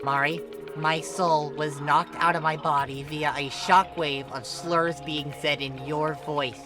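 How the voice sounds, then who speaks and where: A man's voice: on the high side, fairly even intonation, measured pace, music in the background, natural voice. A man voicing an irritable, sarcastic young male ghost in a fan fiction reading, snapping with exasperation. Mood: exasperated.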